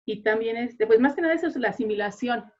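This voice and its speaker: A woman's voice: fairly high pitch, fast, highs cut off. A woman teacher speaking conversationally at an academic panel, explaining things through personal anecdotes. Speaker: Spanish teacher.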